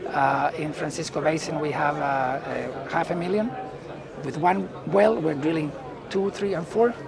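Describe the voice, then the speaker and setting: A middle-aged man from Chile with a Chilean Spanish accent, answering interview questions as a company executive in halting phrases. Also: on the high side, vocal fry. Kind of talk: unscripted business interview. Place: indoor booth at a trade conference. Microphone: lapel mic. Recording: busy event hall chatter behind.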